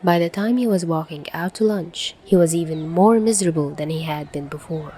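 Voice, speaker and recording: A woman's voice: low voice, brisk, warm tone, slight background noise. A woman reading scripted fan fiction aloud as a narrator. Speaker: podfic reader.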